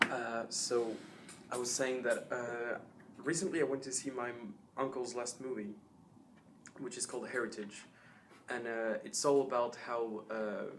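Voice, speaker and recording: Young male student with a mid-range voice, thoughtful and explanatory, with frequent filler pauses. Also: medium pitch, a little hiss or hum. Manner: thoughtful, explanatory, many uhs. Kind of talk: casual testimonial.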